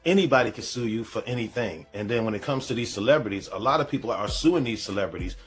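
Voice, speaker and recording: A man's voice: lowish voice, lively intonation, fast, faint music behind. A man hosting a reaction show, giving casual, slangy commentary and repeating phrases for emphasis.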